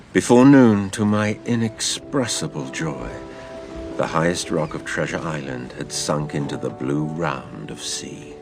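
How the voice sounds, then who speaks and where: A man playing a seafarer who tells his past adventure in a measured, literary, expressive way. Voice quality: low, gravelly. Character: older seafaring man telling his past adventure to a boy, wry and fond. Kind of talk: dramatised storytelling narration.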